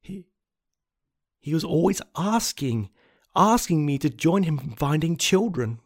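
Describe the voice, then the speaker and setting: A man playing a desperate, obsessed confessor in a horror story, halting and repeating words. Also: fairly high pitch, very animated, exaggerated voice. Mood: troubled, wistful. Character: unstable, haunted anonymous confessor on tape, desperate and obsessed. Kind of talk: dramatic first-person horror monologue.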